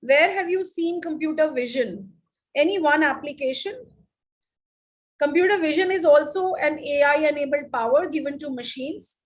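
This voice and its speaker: Middle-aged Indian woman trainer, high voice, lively, quizzes her audience. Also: normal speed. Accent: Indian English. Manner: lively, addresses the audience with questions. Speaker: teacher trainer. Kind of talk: live teacher workshop.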